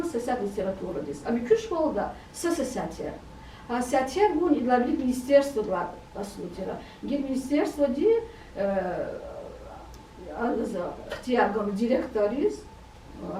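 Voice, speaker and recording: A woman researcher giving a round-table report, halting often with fillers and restarts. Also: a little high, mellow, slight background noise. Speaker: education researcher. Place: meeting room. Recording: roomy hall from far off.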